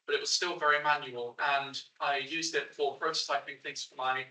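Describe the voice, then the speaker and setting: An eighteen-year-old man, loud and chatty, telling his story with rambling asides. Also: medium pitch, flat delivery, normal speed. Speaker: young maker and guest. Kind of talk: casual spoken story. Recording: roomy sound from a distant mic.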